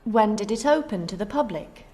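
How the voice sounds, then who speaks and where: A woman's voice: mid-range voice, expressive, normal speed, darkish tone, lightly acted. An actress playing a polite, curious female tourist asking a local about sights. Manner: polite questioning.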